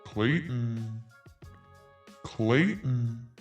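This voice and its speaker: A man's voice: medium pitch, extremely slow, recorded from a distance, soft background music. A man hosting a commentary podcast, reading messages aloud in a sarcastic tone and swearing freely. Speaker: podcast host.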